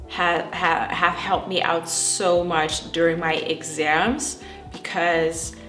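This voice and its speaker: A woman's voice: lowish voice, a bit bright. A young woman from the Netherlands with a Dutch accent, chatting casually to camera and filling her sentences with "like" and "um.". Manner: chatty, frequent fillers like "like" and "um". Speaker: trichologist and YouTuber. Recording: echoey room with faint music.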